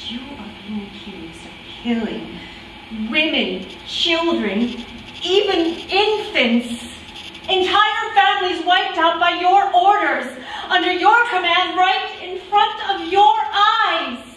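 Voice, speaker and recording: A middle-aged woman playing the distraught wife of an accused war criminal in a staged reading, agitated, pleading and halting. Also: very high-pitched, animated, a bit bright, heavily acted. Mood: accusing, distressed. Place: indoor room, table before a plain wall. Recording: echoey room, distant microphone, faint noise.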